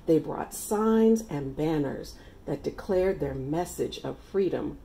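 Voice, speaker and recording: A middle-aged woman reading a children's picture book aloud with deliberate, emphatic, dramatic phrasing. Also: low voice, lively intonation, vocal fry, warm tone, a little hiss or hum, poor audio. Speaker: read-aloud storyteller.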